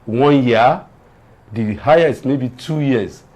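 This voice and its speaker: A man's voice: medium pitch, takes it slowly, a little mellow. Male Nigerian lawyer type for TV panel; earnest, preachy, drives points home by repetition. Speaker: guest lawyer, panel commentator. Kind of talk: TV panel discussion, moralising commentary.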